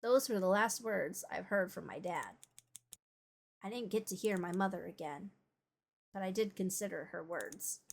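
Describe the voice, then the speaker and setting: A woman's voice: mid-range voice, moderate pace, vocal fry. A woman reading scripted first-person story narration in a subdued, somber manner. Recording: music under the voice. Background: music.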